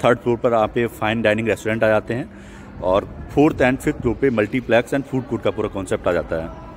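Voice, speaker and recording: A man's voice: lowish voice, fairly quick, slight background noise. A man giving a walking property sales tour outdoors at a construction site, explaining things with many English terms mixed in. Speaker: real estate agent.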